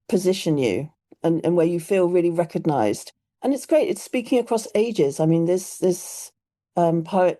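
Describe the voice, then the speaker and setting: A woman with a British accent hosting a poetry podcast, thoughtful and measured as she discusses poems. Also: fairly low pitch, mellow. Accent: British English. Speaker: podcast host. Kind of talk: conversational podcast chat.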